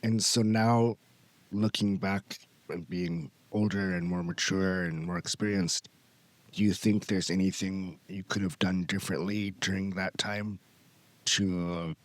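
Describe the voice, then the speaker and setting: A man's voice: low voice, fairly even intonation, measured pace, no echo. A man with an American accent, hosting a podcast and asking probing follow-up questions. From United States. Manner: probing, restates guest's points. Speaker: podcast host. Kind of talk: interview questions.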